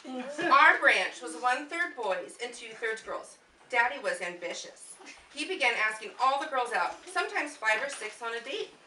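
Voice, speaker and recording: Female amateur skit performer, live family show, far from the mic with clear background noise. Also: fairly high pitch, big pitch swings, normal speed, sharp tone. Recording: distant, echoey, noisy home video of live show.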